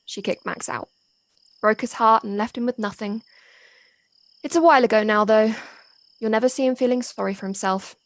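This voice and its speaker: A woman's voice: a little high, moderate pace, long pauses, no room sound, lightly acted. A woman acting in an audio play as a young local daughter, loyal, polite and matter-of-fact, friendly but a little guarded. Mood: confiding, matter-of-fact. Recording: faint noise, home personal-device recording.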